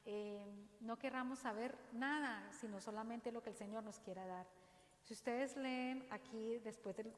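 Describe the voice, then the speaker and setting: A woman with a Dominican accent gives an earnest, exhorting faith talk in a church, speaking slowly and deliberately. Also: medium pitch. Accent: Dominican Spanish. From Dominican Republic. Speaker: parish speaker. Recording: roomy church echo, faint ambience.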